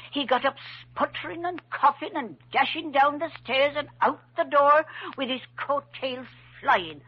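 A woman's voice: sits high, a little slow. A woman acting a feisty, motherly rooming house landlady in a 1940s radio drama, folksy and plain-spoken. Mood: defiant. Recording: dull old radio recording.

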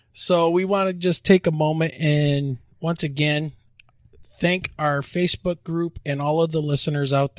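An upbeat man co-hosting a podcast, with a somewhat high voice. He chats casually and laughs easily, recorded close in a dry, dead room. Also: darkish tone, no room sound. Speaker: podcast co-host.

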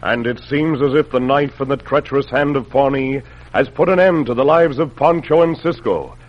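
A man's voice: mid-range voice, normal speed, faint music behind. A man narrating a radio adventure serial in a dramatic, scripted mid-century announcer style. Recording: muffled old radio broadcast.

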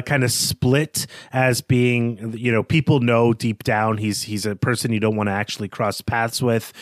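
A male podcast co-host talks about literature in a mid-pitched voice. He lays out his points analytically and with measure. The sound is close and dry, with very little room in it. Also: dry recording.

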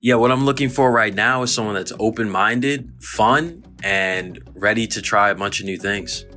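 Chill guy in his 20s, real deep voice but kinda bright, trails off quiet. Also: normal speed. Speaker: contestant. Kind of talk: casual chat.

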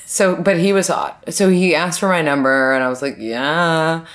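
A woman's voice: low-pitched, normal speed. A young woman co-hosting a casual podcast chat, telling dating stories with lots of "like" and acted-out quotes. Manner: chatty, lots of "like", acts out quotes.

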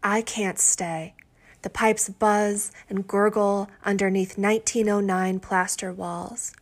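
Very slow-paced woman reading poetry. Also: medium pitch, fairly even intonation. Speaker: poet.

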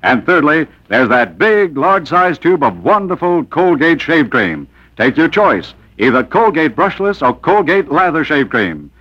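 A dull, band-limited old radio recording carries a male announcer. His fairly high voice moves up and down with animation as he gives an emphatic 1940s-style scripted sales pitch. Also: big pitch swings, a little slow. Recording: muffled old radio broadcast.

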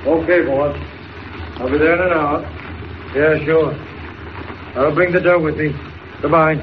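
A man's voice: a little high, fairly even intonation, measured pace, natural voice. An American man playing a cowardly small-time gangster henchman in 1940s radio style, with slangy, stammering speech. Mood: brisk, startled. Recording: muffled, noisy old radio recording.

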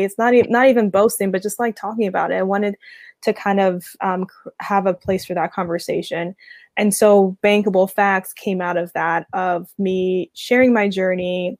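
A young woman from Guyana, a guest talking casually in an interview, relaxed, with many fillers and restarts. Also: mid-range voice, no echo, studio quality. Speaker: guest, finance community founder.

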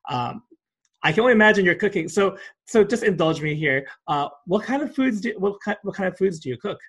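A man's voice: sits high, animated, fairly quick, a bit bright, breathy edge. A man hosting a casual interview, asking polite, hesitant questions. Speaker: interviewer, podcast host.

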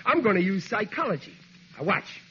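A man's voice: high-pitched, big pitch swings, brisk, a bit bright. An actor playing an exasperated, blustery working-class father with folksy, ungrammatical speech in a 1940s radio comedy. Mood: weary, cocksure. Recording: noisy, dull 1940s radio recording.

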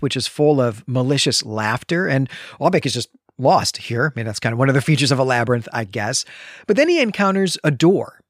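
A man co-hosting a book discussion, recapping and commenting in a measured, articulate, explanatory way. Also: mid-range voice, no echo, studio quality. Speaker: podcast co-host.

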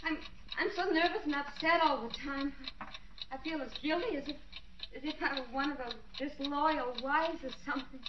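An actress playing a nervous, fretful housewife in a 1940s radio drama. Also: female voice, high voice, moderate pace, highs cut off, lightly acted. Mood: nervous, earnest. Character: anxious, flustered young housewife, earnest and worried. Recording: distant, noisy, echoey 1940s radio sound.

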